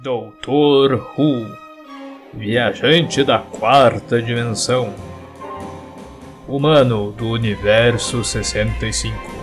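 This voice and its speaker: A man acts out a ceremonial guard in an audio drama, announcing dignitaries very slowly and formally. His mid-pitched voice is solemn and measured, with faint music underneath. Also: close to an everyday voice. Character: solemn ceremonial male palace guard announcing honoured guests.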